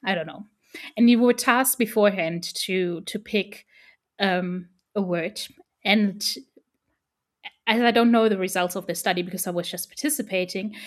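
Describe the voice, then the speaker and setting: German-native woman chatting about her research, hesitant, lots of ums, mid voice. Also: medium pitch. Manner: thoughtful, many fillers and restarts. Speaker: interview guest, researcher. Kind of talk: casual academic interview.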